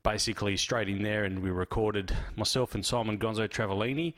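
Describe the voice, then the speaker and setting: An Australian man hosting his podcast and introducing a retrospective episode in a relaxed, rambling way with lots of fillers. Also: on the low side, brisk. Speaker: podcast host. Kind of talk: casual solo intro.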